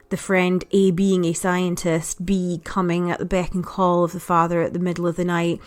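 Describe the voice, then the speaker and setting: An adult woman giving a casual spoken review as a podcast contributor, chatty, reflective and understated. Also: on the low side. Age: adult parent.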